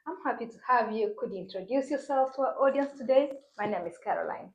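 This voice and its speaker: A woman's voice: fairly high pitch, quick pace, echoey room, muffled recording. A woman hosting an interview, asking friendly, prompting questions in a casual chat. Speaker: interview host.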